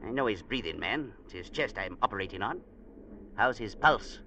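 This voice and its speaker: An actor playing a blunt, bossy, unflappable sea captain in a vintage radio drama, with curt, folksy talk. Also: male voice, lowish voice, lively intonation, moderate pace, sharp tone. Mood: absorbed, testy. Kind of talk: 1940s-50s radio drama acting. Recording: muffled, noisy vintage radio recording.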